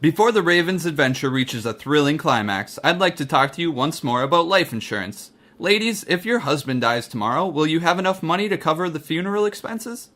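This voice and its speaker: Man playing a bright-voiced radio mystery announcer. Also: a little high, animated, a little fast, hardly any pauses. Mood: earnest pitch. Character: earnest male radio-mystery announcer pitching life insurance. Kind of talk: old-time radio sponsor read.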